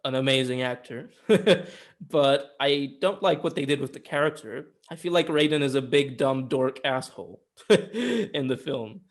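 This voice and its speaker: A man's voice: mid-range voice, expressive, measured pace. A man giving a casual, opinionated film review in informal, sweary conversation. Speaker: film reviewer on a two-person show.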